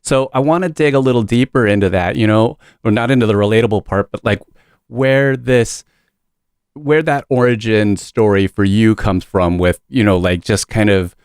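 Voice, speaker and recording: A man hosting a podcast interview, asking questions in a measured way with "you know" fillers. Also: lowish voice, a little mellow, smooth, dry recording, clean studio sound. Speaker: podcast host.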